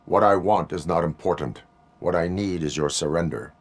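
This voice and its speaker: A man voicing a cyborg police officer who speaks in a flat, clipped, formal way. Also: deep voice, moderate pace. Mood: stern. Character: male cyborg police officer, calm and mechanical.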